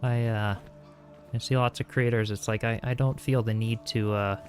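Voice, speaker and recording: A young man streaming a building game, talking calmly and softly while reading chat aloud, with frequent small chuckles. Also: medium pitch, normal speed. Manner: soft-spoken, calm, chuckles often, reads chat aloud. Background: faint game music.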